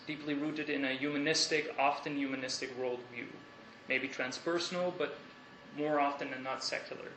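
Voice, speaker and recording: A young Dutch man giving an academic lecture in a lecture hall, thoughtful and measured, with hesitations and restarts. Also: fairly high pitch, bright, forceful tone. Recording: echoey lecture hall, faint hiss.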